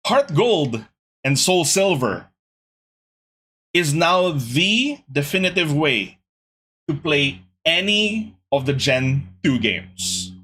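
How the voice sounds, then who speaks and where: A young man hosting a casual gaming podcast, talking to his chat in an animated, exasperated way. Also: a little high, slow pace, slightly rough. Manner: animated, exasperated ranting with laughs. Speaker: podcast host.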